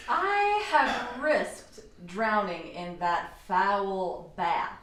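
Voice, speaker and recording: An actress playing a grumbling, sarcastic scientist in a radio play, theatrically indignant. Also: female voice, medium pitch, lively intonation, drawn-out words, far from the mic, noisy background. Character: sardonic, grumbling female scientist. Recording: echoey room, away from the mic.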